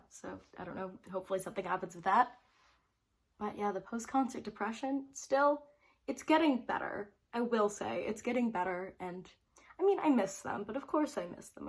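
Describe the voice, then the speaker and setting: A teenage girl talking straight to the camera in a loose, chatty vlog. She rambles happily, fills her sentences with "like" and breaks into giggles between phrases. Her voice sits at an ordinary middle pitch for a girl. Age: teens. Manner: bubbly and rambling, full of "like" fillers. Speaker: vlogger. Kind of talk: casual storytelling to camera.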